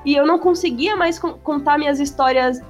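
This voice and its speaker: A woman with a São Paulo accent chatting casually, full of slang and swearing, speeding up when she gets excited. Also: sits high, a little fast, faint music behind. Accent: São Paulo Portuguese. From São Paulo, Brazil. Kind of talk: casual chat.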